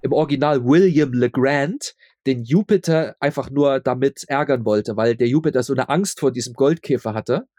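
A man's voice: medium pitch, moderate pace, warm tone, no echo, studio quality. A man chatting as an interview guest, explaining emphatically and often repeating himself. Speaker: audio drama maker, interview guest. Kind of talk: casual interview chat.

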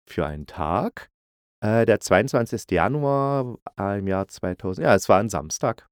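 A man's voice: on the low side, darkish tone, no echo. Man casually reviewing in a dry close recording. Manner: conversational, colloquial, opinionated. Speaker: podcast host reviewing audio dramas.

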